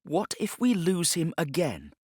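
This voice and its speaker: A woman's voice: lowish voice, wildly swooping pitch, measured pace, darkish tone, breathy edge, dry recording, studio quality, pitched above the performer's own voice, exaggerated voice. A man voicing an anxious, defiant woman scientist in an audiobook, with a clipped and urgent manner.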